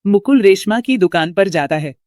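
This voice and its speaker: A woman's voice: mid-range voice, expressive, normal speed, darkish tone, dry recording, clean studio sound. A woman reading a scripted story as its narrator.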